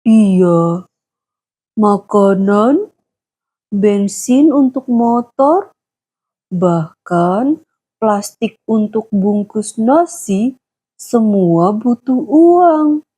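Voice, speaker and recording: A woman voicing a gentle, patient father who explains things slowly to his young daughters in a children's story. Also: mid-range voice, lively intonation, slightly rough, exaggerated voice. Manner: slow, soft, explaining. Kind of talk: storybook dialogue.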